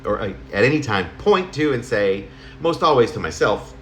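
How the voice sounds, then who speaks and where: A middle-aged or older man reading his own letter-like poem aloud in a halting, conversational way, correcting himself as he goes. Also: mid-range voice, sing-song, a bit bright. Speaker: poet reading own work. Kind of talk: spoken poem reading in the form of a personal letter. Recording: roomy home recording, faint noise.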